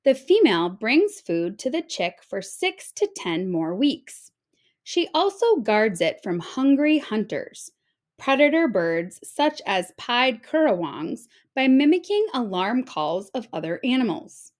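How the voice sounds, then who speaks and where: Female teacher for kids' nonfiction read-aloud: higher register, very animated intonation, clearly phrased. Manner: expressive, clearly phrased storytelling for children. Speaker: teacher reading a children's book aloud. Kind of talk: read-aloud of an informational children's book.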